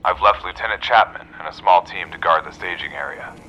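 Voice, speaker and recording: A dutiful expedition officer in a video game, with a very deep voice and a hard, forceful edge. He reports formally and confidently, as if logging for his superiors. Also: brisk, muffled recording. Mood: measured, resolute. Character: male military expedition officer, confident, dutiful, recording a field log. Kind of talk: scripted video game log narration.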